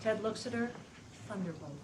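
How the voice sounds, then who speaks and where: A woman's voice: medium pitch, moderate pace, distant mic. A woman reading out scripted stage directions as narrator at a live table read. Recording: noisy room, off the mic.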